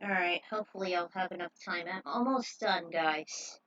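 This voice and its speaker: A woman narrating her own story casually, pausing for halting, informal asides to viewers. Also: lowish voice, a bit bright, muffled recording. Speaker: fan fiction author narrating own story.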